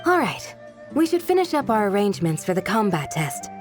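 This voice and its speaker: A woman playing a composed, responsible guardian in a video game, speaking in a measured, polite, businesslike way. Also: mid-range voice, very animated, over music, close to an everyday voice. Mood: gracious. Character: composed, responsible female guardian, courteous and practical. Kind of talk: video game character dialogue.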